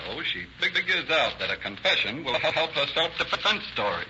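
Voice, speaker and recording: A man playing a shrewd, methodical police inspector in a radio crime drama, questioning in a deliberate, probing way. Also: on the high side, big pitch swings. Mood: musing, suspicious. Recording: dull, noisy old radio recording.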